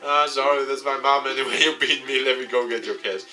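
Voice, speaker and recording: A man voicing a brash, cocky, excitable young male pro gamer in a visual novel. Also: medium pitch, a little fast, pitched above the performer's own voice. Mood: agitated. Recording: game music behind the voice.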